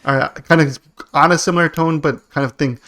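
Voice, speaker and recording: A man's voice: fairly high pitch, fairly even intonation, brisk, a bit bright. A man co-hosting a podcast, chatting casually about politics in a slangy, joking way. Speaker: podcast co-host.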